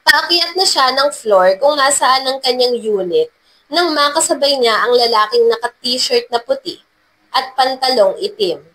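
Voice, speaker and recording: A woman's voice: high voice, lively intonation, measured pace, a bit bright, poor audio. A woman reading scripted narration for a romance story as its narrator.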